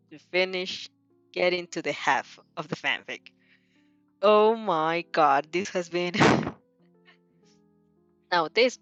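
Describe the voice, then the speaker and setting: Slow-paced woman, giggly and rambling. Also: medium pitch, a bit bright, no echo, muffled recording. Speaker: host reading fan fiction aloud and reacting. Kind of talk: casual reaction stream chat.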